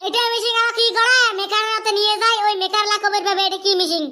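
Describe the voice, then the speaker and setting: A man voicing an excitable, squabbling village character in a comedy cartoon, with a squeaky pitch-raised voice and a rural northern Bengali dialect. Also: quick pace, heavily acted. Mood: excited, argumentative. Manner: exclaiming, bickering, repeating phrases.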